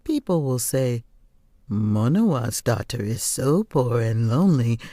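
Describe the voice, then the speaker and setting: A woman voicing a stern, bitter mother with a sharp, reproachful and urgent manner in an audiobook. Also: rumbling low, sing-song, a little slow, no room sound, studio quality, exaggerated voice. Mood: indignant. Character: stern, bitter, scolding mother of a grown daughter. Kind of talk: audiobook character voice.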